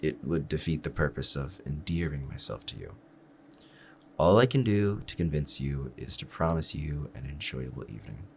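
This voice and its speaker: A man performs a lovestruck assassin with a very deep voice. He speaks formally and gently to the listener, courteous yet quietly dangerous, in an intimate audio roleplay. A faint ambient noise sits behind him. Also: vocal fry, full character voice.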